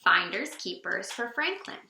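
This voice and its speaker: A woman reading a children's storybook aloud in an animated, expressive way for young listeners. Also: medium pitch, measured pace, gravelly, reverberant, slight background noise.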